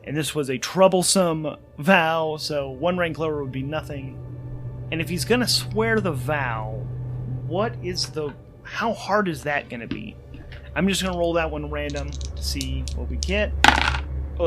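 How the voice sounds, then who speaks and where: A man's voice: a little high, animated, a little slow. A man working as game master and host of a solo tabletop game, thinking aloud as he narrates rules and story. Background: music.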